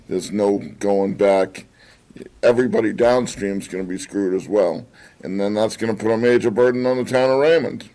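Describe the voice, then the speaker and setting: Blunt man with a mellow low voice. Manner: blunt, folksy and emphatic. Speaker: town board candidate. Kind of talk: candidate forum answer.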